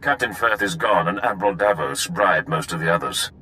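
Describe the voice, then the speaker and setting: A terse male sergeant in a sci-fi game. His low voice has a hard bright edge, cool and clipped, over faint noise. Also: animated, fairly quick, poor audio. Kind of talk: video game voice acting.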